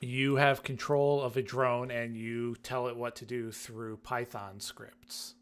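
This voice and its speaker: A man with a mid-pitched voice talks at a slow, unhurried pace. He co-hosts a gaming podcast and chats casually. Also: level tone, slight background noise. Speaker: podcast co-host.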